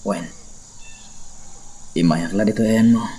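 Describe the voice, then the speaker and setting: A weary man in hiding for an Ilocano radio drama. His voice sits fairly low, and he speaks in a subdued, grateful way. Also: slow, mellow.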